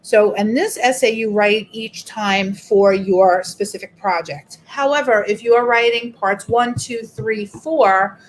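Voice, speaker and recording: A woman's voice: medium pitch, slight background noise, low-quality recording. A middle-aged woman teacher, walking a group through an online workshop in a chatty, explanatory way. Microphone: video call.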